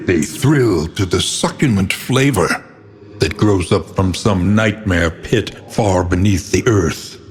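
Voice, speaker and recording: A brooding, ominous narrator for a dark gothic video game. His voice is low and very slow, with theatrical gravity in his delivery. A long, cavernous reverb trails behind him. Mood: dark, macabre. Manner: grave, ominous, theatrical, measured. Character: grim, brooding male narrator of a doomed world. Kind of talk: dramatic scripted gothic narration.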